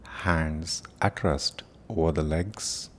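A man leading a guided meditation in a solemn, deliberate manner, speaking in short phrases with long pauses between them. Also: very deep voice, fairly even intonation, slight background noise. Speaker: meditation teacher and podcast host. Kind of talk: guided meditation with prayerful invocation.

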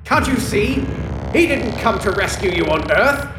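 A man's voice: high voice, lively intonation, a little slow, background noise. A man playing a cornered villain, a former captor, anxious and pleading as he reasons, in a sci-fi audio drama. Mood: stern, reasoning. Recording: echoing room, music underneath.

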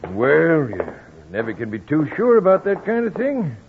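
An American man playing a sly, genial Western businessman with a husky voice and a dry manner in a radio drama. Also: a little high, huge pitch swings, normal speed, a little hiss or hum. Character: sly, genial male Western contract boss. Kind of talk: old-time radio Western acting. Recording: tinny old radio recording.

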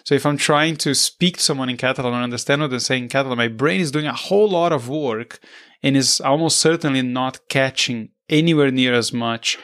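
A podcast host whose native tongue is Portuguese, a man of middling pitch talking things through in an easy back-and-forth. He often doubles back and restarts his sentences as he shapes a question. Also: a bit bright. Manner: thoughtful, halting, with frequent restarts. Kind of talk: conversational interview.

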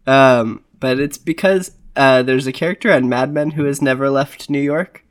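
A man's voice: medium pitch, normal speed. A man co-hosting a podcast in casual chat, rambling with frequent restarts and filler words. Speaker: podcast co-host.

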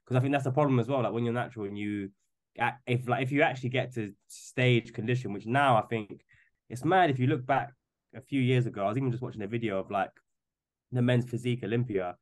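A man's voice: mid-range voice, fast talker. A man in his twenties in casual podcast chat, rambling and often stumbling over repeated words as he starts a thought. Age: 20s. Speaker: podcast guest.

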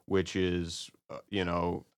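A man co-hosting a podcast, discussing and reviewing in a casual, halting, analytical way. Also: deep voice, completely flat delivery. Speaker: podcast co-host.

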